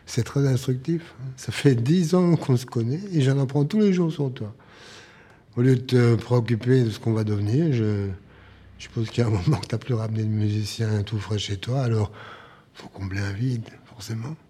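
An actor playing a bitter, despairing man of the jazz club world in a drama, husky, sarcastic and theatrical. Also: medium pitch, dark tone, lightly acted. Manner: sarcastic, theatrical, halting bursts. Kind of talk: naturalistic drama dialogue.

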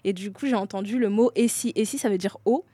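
A woman's voice: mid-range voice, quick pace, warm tone, slightly rough, no room sound. Young woman, dry close sound, casual storyteller. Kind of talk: casual conversation, personal anecdote telling.

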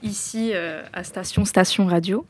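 A woman co-hosts a casual radio talk. Her voice is of medium pitch, and she speaks in an informal, conversational way. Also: steady tone, unhurried, no echo. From France. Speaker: radio co-host. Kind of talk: casual hosting chat.

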